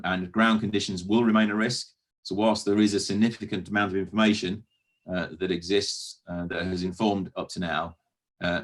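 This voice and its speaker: Very deep-voiced, flat British man. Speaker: council officer presenting to a committee. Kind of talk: formal explanatory meeting talk.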